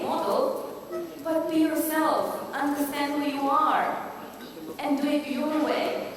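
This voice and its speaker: A woman in her forties from Romania, with a Romanian accent, giving an emphatic motivational business talk and rallying the audience with questions. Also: sits high. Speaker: business speaker. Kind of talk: motivational stage presentation. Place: large conference hall. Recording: echoey hall from far off.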